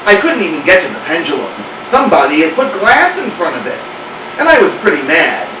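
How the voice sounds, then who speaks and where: A man's voice: a little high, huge pitch swings, fairly quick. A man voicing a dramatic, self-pitying house cat telling a tale in an emphatic, theatrical way. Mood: suspenseful. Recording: roomy, distant mic, clear hum.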